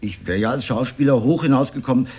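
An actor playing an older communist and failed stage actor in a radio drama, sardonic, defiant and declamatory. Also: male voice, mid-range voice, fast, darkish tone, husky, close to an everyday voice. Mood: theatrical, defiant. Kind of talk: radio drama monologue, theatrical.

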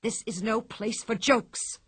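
A woman doing a booming circus ringmaster. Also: breathy edge, no echo, studio quality. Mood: angry. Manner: booming, drawn-out showman announcing. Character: loud showy male circus ringmaster.

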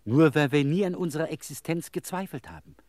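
Male actor, earnest pleading man, radio play, husky, highish pitch, rhetorical, solemn, dry studio sound. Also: expressive, brisk, a little mellow, no echo, lightly acted. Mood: accusing, pleading. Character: earnest pleading apparition defending his right to exist. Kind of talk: radio drama acting.

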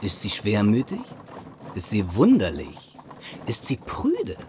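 Conceited young gentleman with animated delivery. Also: on the low side, slow pace, a little hiss or hum, heavily acted. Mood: musing, self-assured. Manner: self-assured, theatrical, playful phrasing. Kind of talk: period literary radio drama.

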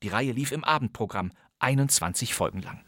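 A man's voice: medium pitch, animated, a little fast, fairly bright tone, no echo. Wry man hosting a radio crime podcast. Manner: wry, ironic commentary. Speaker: podcast host. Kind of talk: scripted radio narration. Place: studio.

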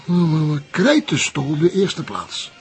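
A rather high-pitched elderly Flemish man in a TV crime drama. He is a retired journalist who speaks carefully and wryly, choosing his words with discretion. Soft music sits behind him. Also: big pitch swings, measured pace. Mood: discreet, gossiping. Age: older.

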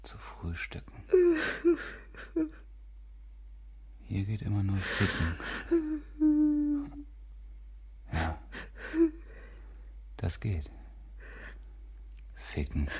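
Radio play lover: cynical, restless, low and very breathy, terse resigned phrasing. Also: male voice, slow pace, pauses often, full character voice. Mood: bleak, resigned. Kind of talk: radio drama acting.

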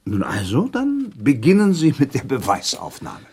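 A man acting in a German radio play as a haughty, evasive aristocratic gentleman under accusation, with formal, defensive delivery and a husky voice. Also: mid-range voice, wildly swooping pitch, normal speed, a little hiss or hum. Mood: cool, defiant.

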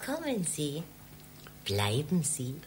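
A woman's voice: low voice, huge pitch swings, slight background noise, heavily acted. An actress in a radio play playing a seductive, mocking woman who teases coquettishly in a library. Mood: teasing, seductive. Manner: slow, teasing, coquettish.

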